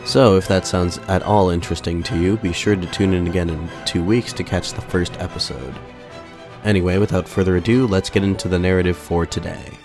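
A man hosting a podcast, narrating history in a measured, formal way, his voice slightly off after a mild illness. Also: deep voice, fairly even intonation, over music. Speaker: podcast host.

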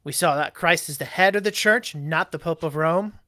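A man co-hosting a church study show, explaining a confession in a halting, deliberate way with fillers and self-corrections. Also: a little high, sharp tone, no echo, studio quality. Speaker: co-host discussing a church confession. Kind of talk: Bible study discussion show.

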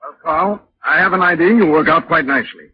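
An actor playing a courteous, measured wealthy husband hiring staff in 1940s radio drama. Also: male voice, on the high side, close to an everyday voice. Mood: courteous, concerned. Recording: dull vintage radio recording, faint noise.

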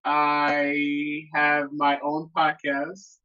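A woman's voice: deep voice, monotone, extremely slow, bright, forceful tone, highs cut off. A woman of about thirty, a guest on a podcast, chatting playfully and laughing often. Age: 30s. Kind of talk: casual chat.

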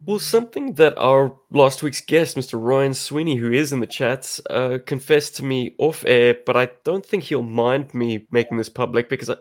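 A man's voice: medium pitch, normal speed, few pauses. A young man from South Africa with a South African accent, hosting a podcast in a chatty, self-deprecating way. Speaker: podcast host. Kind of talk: casual podcast chat.

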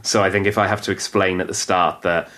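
A man whose first language is Welsh, a comedian chatting casually on a podcast with quick, self-deprecating asides. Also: deep voice, level tone, fairly bright tone. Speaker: comedian guest.

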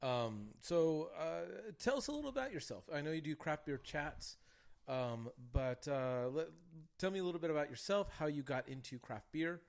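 A man's voice: on the high side, lively intonation, moderate pace, creaky. A man hosting a casual beer podcast, chatty and loose, with plenty of fillers. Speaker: podcast host.

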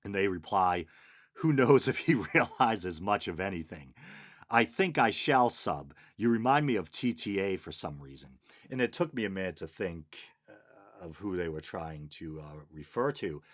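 Boston-accented male podcast host, very low voice, dry sarcastic humor. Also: expressive, moderate pace. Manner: wry, dryly sarcastic, chuckling asides. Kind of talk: casual solo podcast chat, reading listener comments.

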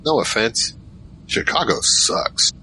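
A man's voice: mid-range voice, lively intonation, takes it slowly, bright, forceful tone, slight background noise, heavily acted, poor audio. A man playing a wry, casual male narrator searching for his family in an audio drama. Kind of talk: first-person audio drama narration and dialogue.

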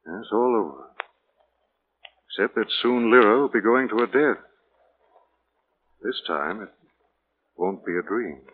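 An actor playing a calm, reasoning gentleman detective in 1940s radio drama, explaining things in a measured, matter-of-fact way. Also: on the low side. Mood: grave. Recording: muffled vintage 1940s radio recording.

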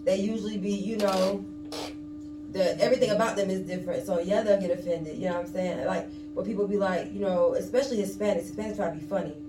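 Quick animated woman chatting on a podcast, slangy, roomy echo, mic kinda far. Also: medium pitch, over music. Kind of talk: casual chat. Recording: echoey room, mic at a distance.

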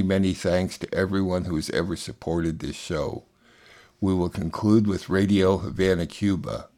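A man's voice: low voice, darkish tone. A man hosting a radio news programme, reading scripted announcements and links. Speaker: radio news show host.

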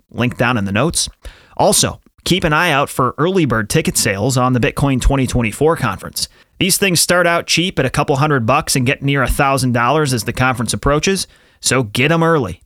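A man's voice: mid-range voice, a bit bright, dry recording, clean studio sound. A man co-hosting a podcast, reading sponsor ads with profanity and chatting casually with guests. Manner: brash, profane, fast ad-read delivery. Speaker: podcast co-host. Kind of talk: casual podcast chat with ad reads.

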